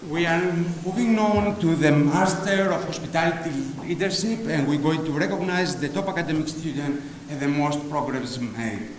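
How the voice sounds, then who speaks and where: A man's voice: fairly high pitch, moderate pace, hardly any pauses. A man with a Greek accent hosting a formal graduation ceremony and announcing prizes. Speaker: ceremony host presenting prizes. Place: large hall at a graduation ceremony. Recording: echoey hall, loud crowd and noise. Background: audience crowd noise.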